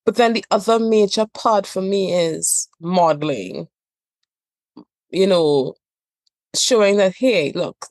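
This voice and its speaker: Trinidadian woman therapist, deep-leaning voice, emphatic and earnest, dry studio sound. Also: vocal fry, no room sound. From Trinidad and Tobago. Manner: earnest, emphatic, repeats phrases for stress. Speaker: couples therapist and trainer. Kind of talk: reflective podcast interview talk.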